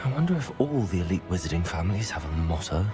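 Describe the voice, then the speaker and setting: An actor playing an earnest, inquisitive teenage wizard student in a video game, thinking aloud. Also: male voice, deep voice, sing-song, fast. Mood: curious. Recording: loud game music underneath.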